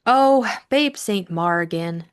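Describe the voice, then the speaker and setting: A woman voicing a headstrong young upper-class lady in an audiobook, brisk, teasing and probing. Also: on the low side, expressive, clean tone. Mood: teasing, impatient. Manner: adamant, probing. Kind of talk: audiobook character acting.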